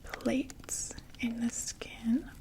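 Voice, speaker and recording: A woman in an ASMR roleplay voicing a chatty undead female vampire makeup saleswoman, whispering softly and reassuringly. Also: fairly high pitch, level tone, drawn-out words, rough recording. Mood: soothing. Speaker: ASMR performer.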